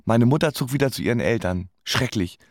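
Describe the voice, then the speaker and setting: A man's voice: medium pitch, expressive, fairly quick, no echo, studio quality, lightly acted. A man playing a wry, resigned musician who narrates his life laconically in a radio play.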